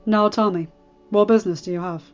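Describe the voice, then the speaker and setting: A courteous, steady lord speaking to a young guest, voiced by a woman narrator. Muffled audio, soft music behind. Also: mid-range voice, brisk, close to an everyday voice. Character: courteous calm male lord, a father. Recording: dull audio with faint music.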